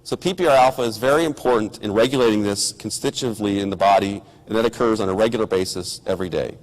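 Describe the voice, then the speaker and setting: A middle-aged man, hoarse from a cold, giving a measured technical science lecture with occasional ums and restarts. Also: on the low side. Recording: lecture hall with long reverb.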